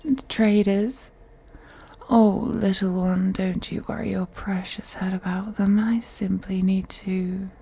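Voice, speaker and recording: A woman's voice: mid-range voice, warm tone. A woman playing a wealthy, possessive female vampire in an intimate audio roleplay, speaking in a whispery, teasing, condescending way. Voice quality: breathy, whispery. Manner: slow, purring, condescending, deliberate.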